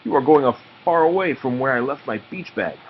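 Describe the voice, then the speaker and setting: A clingy little boy from a children's storybook, played by a man reading aloud. He is eager and exclamatory, with the voice pushed higher and swinging around. The recording is slightly muffled. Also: expressive, brisk, a little hiss or hum, natural voice. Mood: alarmed.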